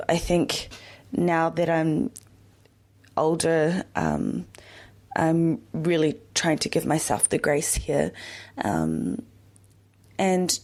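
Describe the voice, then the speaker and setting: A young woman hosting a podcast, talking in a slow, reflective personal monologue with many pauses and fillers. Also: deep voice, gravelly, darkish tone. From born in Yemen, raised in New Zealand. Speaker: podcast host.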